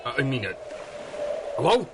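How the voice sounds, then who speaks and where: A man's voice: medium pitch, big pitch swings, normal speed, noisy background, lightly acted. A man acting a dim, nervous, obliging 1930s gangster henchman in a radio drama, with slangy, ungrammatical talk.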